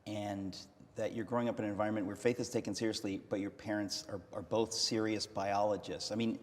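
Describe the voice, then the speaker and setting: A man's voice: lowish voice, little pitch movement, fairly quick, big-room sound. A man hosting an interview, asking questions with frequent restarts and a playful, teasing humor. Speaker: interviewer, host. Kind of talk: conversational interview.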